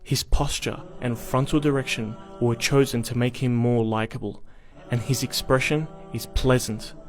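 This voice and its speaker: A man narrates a scripted documentary in a mid-pitched voice. His delivery is measured and articulate, like a storyteller's, with music clearly playing behind him. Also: fairly even intonation.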